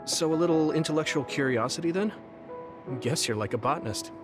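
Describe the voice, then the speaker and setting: A man's voice: a little high, brisk, music in the background, natural voice. A man playing a kind, protective young soldier in an anime-style video game, speaking in a friendly, earnest, reassuring way. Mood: gentle, reassuring.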